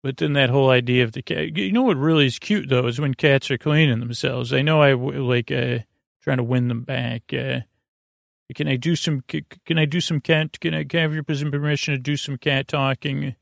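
A man with a creaky, gravelly voice at a middling pitch hosts a bedtime podcast. He meanders from tangent to tangent, stumbling and restarting, with plenty of ums. The sound is dry and close, with no room echo. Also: steady tone. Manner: meandering, halting, with many ums, stammers and tangents. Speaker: podcast host. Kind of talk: rambling bedtime monologue to help listeners sleep.

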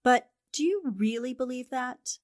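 A woman's voice: high-pitched, lively intonation, a little slow, darkish tone. A woman playing a determined, eager newspaperwoman in the style of 1940s radio drama. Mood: businesslike, probing. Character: determined, eager newspaperwoman investigating a haunted house.

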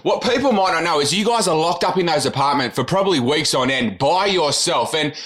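A man's voice: a little high, lively intonation, brisk, a bit bright. A man co-hosting a podcast, asking questions with gushing, enthusiastic reactions. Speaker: podcast co-host. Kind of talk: casual interview chat.

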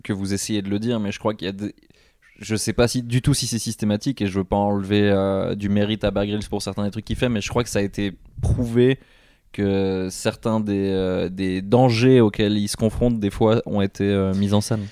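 A man's voice: fairly low pitch, level tone, brisk, mellow, dry recording, studio quality. A man interviewing a guest, asking curious, polite questions with frequent hesitations. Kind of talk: casual interview chat.

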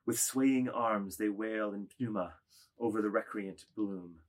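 A man reading scripted literary stage directions as narrator, in a measured delivery. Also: lowish voice.

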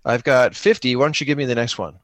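A man improvising his way through a tabletop game in casual chat, thinking out loud as he plays. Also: medium pitch, fast, slight background noise. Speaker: podcast player improvising a solo tabletop game.

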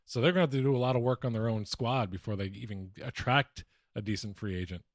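A man's voice: mid-range voice, quick pace, dry recording, clean studio sound. A man co-hosting a podcast in casual sports chat, talking informally with some slang. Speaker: podcast co-host.

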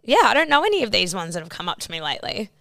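A woman's voice: medium pitch, sing-song, very fast, gravelly, fairly bright tone, dry recording, studio quality. A young Australian woman co-hosting a podcast, chatting casually with lots of "like" and giggles. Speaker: podcast co-host. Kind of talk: casual chat.

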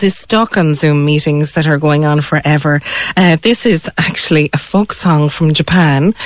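A woman's voice: low-pitched, no room sound. A woman with an Irish accent co-presenting a radio show in an informal, chatty way. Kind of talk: casual radio chat.

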